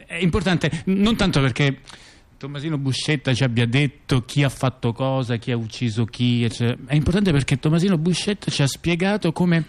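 A man playing a rambling intellectual in a conversational radio theatre piece, explaining things haltingly with repeated words and digressions. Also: mid-range voice, lightly acted.

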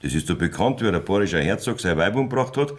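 Lecherous, boastful Bavarian farmer in his fifties; very low husky voice, swinging delivery, radio play. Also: animated, fast. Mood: defiant, vengeful. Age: middle-aged. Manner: boastful, coarse, earthy. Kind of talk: dialect radio drama acting.

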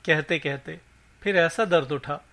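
A man's voice: a little high, a little slow, sharp tone. A man narrating a scripted short story for a podcast, reading as the host.

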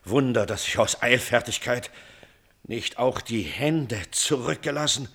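An actor playing a proud, hot-tempered old nobleman and father in a classical radio tragedy, declaiming with halting, indignant exclamations. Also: mid-range voice, a bit bright. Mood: anguished. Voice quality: gravelly, husky. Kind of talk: classical German stage tragedy as radio drama.

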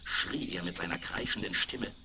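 A man's voice: low-pitched, moderate pace, vocal fry. A man reading a scripted fairy tale for children as the narrator of a radio play. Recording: crackly, very noisy 1970s vinyl record.

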